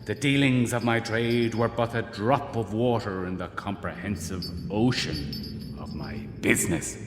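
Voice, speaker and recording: A man playing the ghost of a dead old businessman in a radio play, speaking in slow, grave, booming pronouncements. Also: natural voice. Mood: solemn. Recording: echoey ghostly reverb with music.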